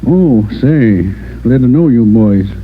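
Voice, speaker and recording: A hearty, folksy American bar owner, eager to please, in a mid-pitched voice. It is old radio drama, muffled with faint hiss. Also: male voice, animated, takes it slowly. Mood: hearty, welcoming. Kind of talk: 1940s-50s radio drama acting.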